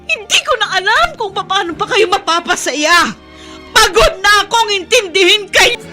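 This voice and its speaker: A devoted Filipino daughter in a radio drama. Her voice is high, earnest and pleading, full of worry for family, with faint music behind. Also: lively intonation, normal speed. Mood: tearful, exasperated. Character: caring, worried grown daughter of a widowed father. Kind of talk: Tagalog radio drama acting.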